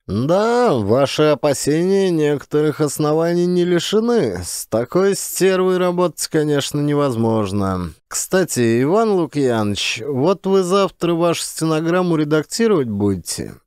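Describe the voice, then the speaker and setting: A man's voice: on the high side, sing-song, unhurried, few pauses, a little mellow, clean tone, dry recording, studio quality, voice pushed up. A man voicing a sly, ironic Soviet camp official in an audiobook, with an insinuating, officious manner. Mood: confiding, ironic. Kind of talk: audiobook character dialogue.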